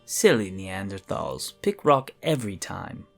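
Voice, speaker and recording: A man voicing a smug, scheming elf spellcaster in a fantasy audio drama, musing theatrically in a husky voice. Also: sits low, very animated, measured pace, a bit bright, soft background music, heavily acted.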